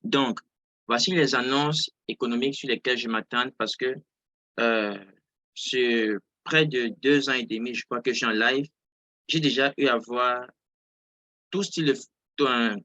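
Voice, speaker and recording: A man with a bright, forceful voice teaches informally in a live online session. He is a non-native French speaker and often restates his words for emphasis. The sound is close and dry. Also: medium pitch, normal speed, no room sound, muffled recording. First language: not French. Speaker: trading instructor. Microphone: close mic on an online video call.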